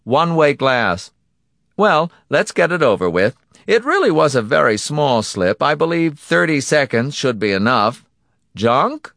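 Man acting smooth, condescending, menacing boss, raised pitch. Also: big pitch swings, a bit bright, dry recording, clean studio sound, heavily acted. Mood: brisk, condescending. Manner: mock-polite, indulgent, drawn-out delivery. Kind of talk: audiobook character acting.

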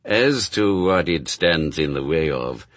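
A man voicing a grave demon lord from a story, slow and imperious, with a buzzing voice and archaic phrasing. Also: a bass voice, expressive, no echo, full character voice. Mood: stern.

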